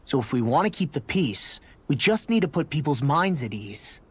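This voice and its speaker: An actor playing a thoughtful companion, husky and deliberate as he explains. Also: fairly high pitch, sing-song.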